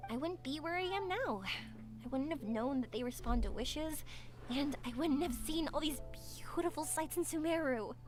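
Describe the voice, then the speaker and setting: An earnest young woman with a high, clear voice, thinking aloud in a cheerful, warm-hearted way. Game music plays softly underneath. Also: lively intonation, moderate pace. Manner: earnest, reflective.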